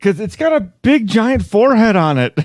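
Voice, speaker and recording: A man's voice: sits high, very animated, normal speed, a little mellow. A man co-hosting a casual podcast, dropping dry, amused quips. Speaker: podcast co-host.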